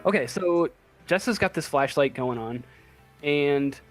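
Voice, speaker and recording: A man with a slightly high voice narrates a tabletop game as game master. He improvises haltingly, searching for words, with faint music behind him. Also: animated.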